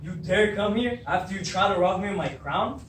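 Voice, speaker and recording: A man's voice: high voice, a little fast, fairly bright tone. A young man acting an angry, suspicious king in beginner stage readers' theatre, with an indignant, accusing manner. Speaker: drama student. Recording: roomy, distant mic, faint noise.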